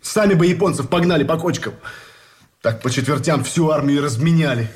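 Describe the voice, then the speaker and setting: An actor in a Russian radio drama playing a plain-spoken, grumbling captured soldier with a blunt, folksy manner. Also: male voice, on the high side, brisk, close to an everyday voice. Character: plain-spoken, grumbling rank-and-file soldier held prisoner.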